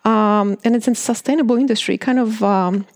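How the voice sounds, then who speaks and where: A woman's voice: on the high side, moderate pace, a little mellow, no room sound, studio quality. A woman in her late thirties from Poland with a heavy Polish accent, chatting as an interview guest with many fillers and restarts. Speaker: beauty industry guest. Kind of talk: interview answers.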